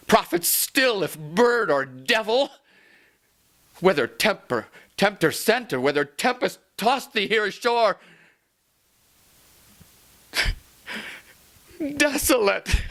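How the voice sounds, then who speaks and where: A man's voice: very high-pitched, bright, forceful tone, pitched above the performer's own voice, exaggerated voice. A shrieking, anguished grieving man. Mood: desperate pleading. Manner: shrieking, wailing, melodramatic. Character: anguished grieving man, frantic, desperate and raging. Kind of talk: theatrical poetry recitation.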